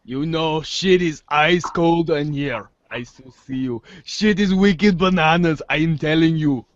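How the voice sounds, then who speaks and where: A too-cool teenage boy played by a man drawls slangy lines in a slightly high voice. He is nonchalant and halting, in a dry, somewhat muffled lo-fi audio-drama recording. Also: dry recording, natural voice. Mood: smug, chill. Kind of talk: fan audio drama of a webcomic. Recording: close, dull lo-fi sound.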